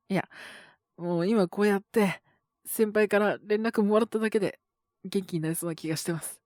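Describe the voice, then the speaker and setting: Slow-talking, coughing young junior coworker, acted by a man. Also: sits high, expressive, dry recording, clean studio sound. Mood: polite, grateful. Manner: polite and deferential on the phone, sighing, murmuring to himself. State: coughing, sick with a cold. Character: earnest young male junior coworker with a cold. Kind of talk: Japanese situation voice drama, intimate solo acting.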